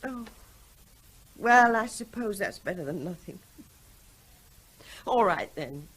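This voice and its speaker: An anxious, pleading wife. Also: female voice, mid-range voice, animated, takes it slowly, fairly bright tone, husky, full character voice, poor audio. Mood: distressed. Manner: emotional, pleading. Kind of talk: 1970s radio drama acting.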